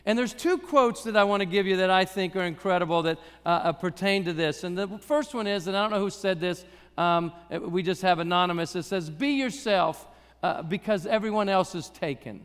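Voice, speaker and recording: Raspy-voiced man preaching in a hall. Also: high voice, fairly quick, hardly any pauses, fairly bright tone. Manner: humorous, conversational preaching. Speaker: guest preacher. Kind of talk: sermon. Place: church.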